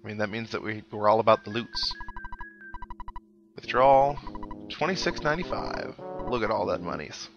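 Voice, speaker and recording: Low-voiced man, wry gaming commentary, game music. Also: expressive, normal speed, long pauses. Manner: wry, sarcastic asides. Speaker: gaming show host, player. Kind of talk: casual let's-play commentary, reading game text aloud. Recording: dull lo-fi mic, game music behind. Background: synthesized video game music.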